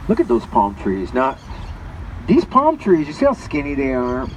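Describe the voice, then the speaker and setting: A man with a loud voice giving a live guided tour, chatting to the group and addressing them directly. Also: medium pitch, huge pitch swings, moderate pace. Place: outdoors on a moving tour vehicle. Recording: distant, muffled mic with loud wind noise.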